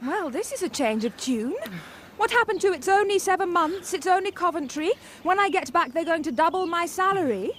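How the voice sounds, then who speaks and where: A woman's voice: very high voice, animated, brisk, fairly bright tone, slight background noise. An actress playing a teasing, spirited young woman in a radio drama. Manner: teasing, playful. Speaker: Violet Smith.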